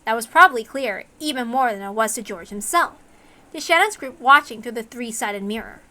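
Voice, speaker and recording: A woman's voice: a little high, expressive, fairly quick, pushed and bright. A woman reading a story aloud as its host, in a dramatic narrating style. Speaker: reader and host.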